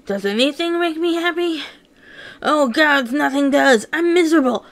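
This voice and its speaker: A woman's voice: high voice, expressive, moderate pace, pitched above the performer's own voice. A woman voicing an angry, miserable, conflicted superhero in an agitated, exclamatory way for a story. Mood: despairing.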